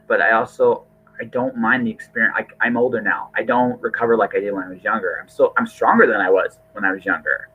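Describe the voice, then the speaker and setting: A man's voice: on the low side, husky, phone-quality sound. A middle-aged man hosting a casual podcast conversation, earnest and rambling, often repeating his words. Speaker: podcast host.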